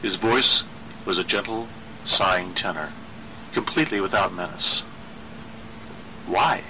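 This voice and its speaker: A man narrating a literary horror story in a measured, dramatic way. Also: low-pitched, sharp tone, a little hiss or hum, poor audio.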